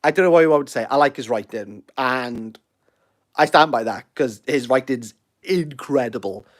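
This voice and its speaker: A man's voice: mid-range voice, normal speed. A Welsh man in his thirties hosting a casual livestream, rambling and self-deprecating as he answers viewer comments. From Wales. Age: 30s. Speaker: livestream host.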